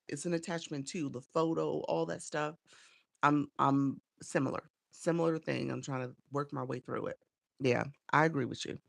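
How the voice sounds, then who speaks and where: A woman's voice: sits low, creaky, no room sound, studio quality. A woman coaching on a casual livestream, chatty and encouraging as she greets viewers by name, sounding a bit congested and nasal from a cold. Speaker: live streaming coach.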